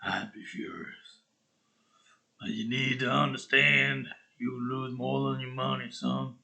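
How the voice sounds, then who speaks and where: A rough, tough Western gambler acted by a man, quietly menacing. He speaks slowly and deliberately, holding his threat back at a medium-to-low pitch. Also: steady tone, heavily acted. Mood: low menace. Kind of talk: Western character script reading.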